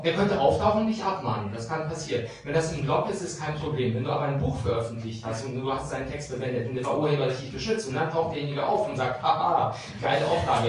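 A man in his thirties, a lawyer, explaining things informally to a pub audience with examples, speaking into a handheld microphone. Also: a little high. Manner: explaining with examples, lively and direct. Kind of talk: informal talk answering audience questions. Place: small crowded pub room. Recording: very echoey room from far off, noisy.

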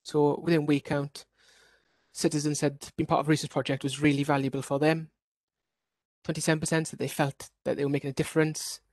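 A man's voice: on the high side, flat delivery, fairly quick, a little mellow, dry recording. A man from Wales giving an online workshop presentation as a researcher, explaining steadily with occasional restarts over a video call.

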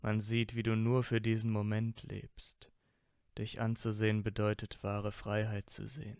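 Guy playing proud young wizard student, secretly soft, slow delivery, pretty even tone, audio drama. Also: fairly low pitch, vocal fry, smooth, dry recording, studio quality. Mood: earnest, tender. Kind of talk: amateur audio drama acting.

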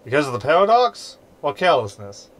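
Hologram, a snide, lively crewmate played by a man. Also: a little high, a little fast. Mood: sarcastic. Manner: sardonic. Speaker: actor in sci-fi comedy audio drama. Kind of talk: audio sitcom acting.

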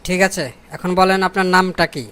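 A man's voice: high-pitched, fairly even intonation, a little fast, fairly bright tone, clean tone. A man voicing a scheming, self-important corrupt boss in a comic cartoon, pompous and sly, with colloquial Bangladeshi speech. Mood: mock-formal questioning. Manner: pompous, mock-formal, sly.

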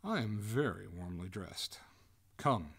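A man voicing a courteous but coolly merciless young gentleman in a storybook, formal and firm in manner. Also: lowish voice, sing-song, unhurried. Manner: formal, suavely polite, firm.

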